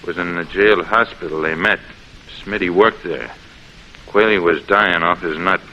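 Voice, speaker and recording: An actor playing a smug, threatening crime boss with terse gangster slang in a 1940s radio drama. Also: male voice, low voice, normal speed, bright, forceful tone, a little hiss or hum. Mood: wary, tough. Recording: muffled old radio recording.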